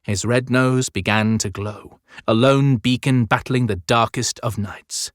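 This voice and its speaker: A man narrating a fantasy audiobook as scripted storytelling, with measured, clearly phrased delivery. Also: mid-range voice, fairly even intonation, dry recording, clean studio sound.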